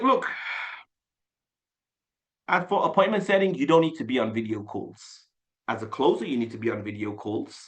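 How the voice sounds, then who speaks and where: A man with a bright, forceful voice leads a live online sales class over a call. He talks in an animated, loose way, repeating himself and peppering his talk with "like" and "uh". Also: sits high. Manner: animated, repeats phrases, frequent "like" and "uh". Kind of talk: casual live coaching session. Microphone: video call mic.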